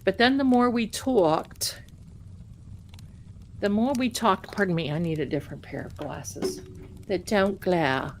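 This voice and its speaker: A middle-aged woman casually chatting with her live-stream viewers as a tarot reader, rambling warmly and calling them her darlings. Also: mid-range voice, measured pace, pauses often, slight background noise.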